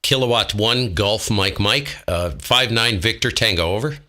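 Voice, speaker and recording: A man's voice: on the low side, unhurried, sharp tone. An American man operating a ham radio, giving call signs and making casual side comments as host.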